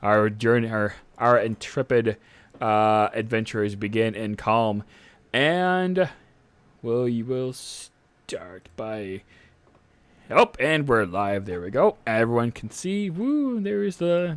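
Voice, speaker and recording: A man's voice: medium pitch, clean tone, no room sound. A young man serving as dungeon master on a live stream, casual with filler words, reading a fantasy setting synopsis. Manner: unhurried, casual with frequent "uh" and "all right".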